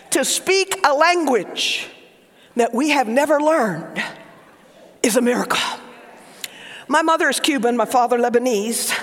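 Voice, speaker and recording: Fervent high-voiced Southern preacher man. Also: long reverb tail. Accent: Southern US (Oklahoma). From Oklahoma, USA. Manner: fervent preaching, swings from shouts to hushed whispers. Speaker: pastor. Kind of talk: sermon.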